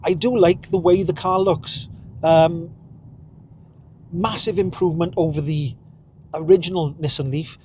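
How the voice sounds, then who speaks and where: A man's voice: on the high side, steady tone, measured pace, long pauses, a little mellow, a little hiss or hum. Chatty Welsh man talking while driving. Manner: chatty, rambling, chuckles at himself. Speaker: vlogger. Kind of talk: casual driving vlog. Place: inside a car while driving.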